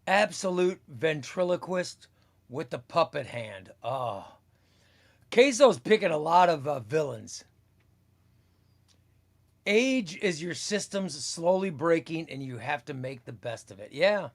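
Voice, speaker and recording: A man in his fifties chatting on a live comics stream. He talks at an unhurried pace in a slightly high voice, rambling and circling back over his own phrases as he reads comments aloud. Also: sing-song. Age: 50s. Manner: rambling, repeats phrases, slow and easygoing. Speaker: comics livestream host. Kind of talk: casual chat reading live comments.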